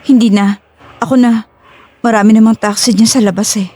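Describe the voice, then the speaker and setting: A woman's voice: a little high, steady tone, fairly quick, dark tone, natural voice. A woman playing a young fugitive who hides her anger, in an emotive Filipino audio drama style. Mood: guarded.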